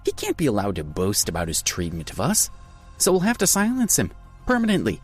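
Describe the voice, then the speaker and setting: A man's voice: mid-range voice, wildly swooping pitch, fairly quick, breathy edge, faint music behind, voice pushed up, exaggerated voice. A man voicing a ruthless, arrogant young male heir who talks in a cold, dismissive way. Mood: cold, scornful.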